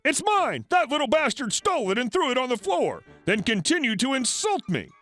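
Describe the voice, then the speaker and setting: Entitled angry woman, male narrator acting her out: shrill high pitch, bossy, abusive, indignant, for a story reading. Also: very animated, normal speed, faint music behind, exaggerated voice. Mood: indignant, haughty. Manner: demanding, shouting, indignant.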